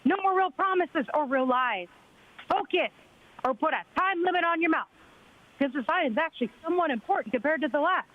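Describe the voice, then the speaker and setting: A man's voice: very high voice, expressive, a little fast. A man calling in with a combative, accusatory public comment. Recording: tinny phone line.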